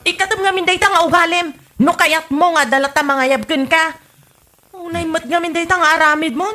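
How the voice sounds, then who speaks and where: An exasperated, scolding Ilocano wife played by a woman in a comedy audio drama. Her voice is high and bright, and she nags with a Filipino lilt. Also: brisk. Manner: nagging, scolding.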